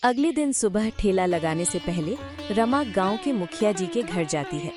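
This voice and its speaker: A woman narrating a cartoon moral story in a measured storytelling manner. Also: medium pitch, animated, over loud music.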